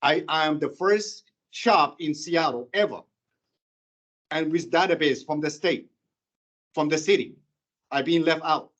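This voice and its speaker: A man with a Sudanese accent making an impassioned, halting public comment at a board meeting, often restarting and repeating himself. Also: on the high side, a bit bright.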